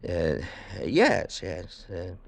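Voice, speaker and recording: An actor playing a tough, wry, broke American adventurer in an old radio drama, clipped and world-weary. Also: male voice, deep voice, huge pitch swings, very slow, gravelly, full character voice. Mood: cagey. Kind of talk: 1940s-50s radio drama acting and narration.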